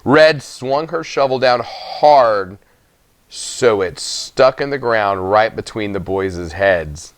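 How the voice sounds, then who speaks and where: A man reading a first-person children's story aloud with expressive narration. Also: fairly low pitch, takes it slowly, no room sound. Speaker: audiobook reader.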